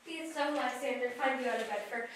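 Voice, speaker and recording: A woman's voice: high-pitched, quick pace, background noise, lightly acted. A woman playing an earnest young noblewoman in love, speaking stage verse. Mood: tender. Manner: soft, earnest pleading. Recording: echoey stage, distant mic.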